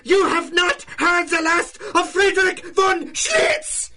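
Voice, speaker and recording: A man's voice: extremely high pitch, big pitch swings, takes it slowly, very noisy, exaggerated voice. A man playing a pompous, indignant German scientist with a German accent in a pulp radio drama. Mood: outraged. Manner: indignant, bombastic. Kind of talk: 1930s pulp radio acting.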